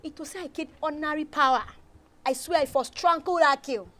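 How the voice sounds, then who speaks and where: Performer as aggrieved young hothead, very high voice, Nigerian accent, indignant, stammering repeats. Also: a little fast, pushed and bright. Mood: aggrieved, vengeful. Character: aggrieved hot-headed young schemer, ranting about revenge. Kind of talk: acted Nigerian Pidgin comedy dialogue.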